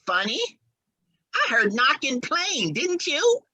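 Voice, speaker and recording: A woman playing a simple, stubborn young husband devoted to his mama in an old-time radio horror play, naive, folksy and excitable. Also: sits high, slow, no room sound, exaggerated voice. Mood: puzzled.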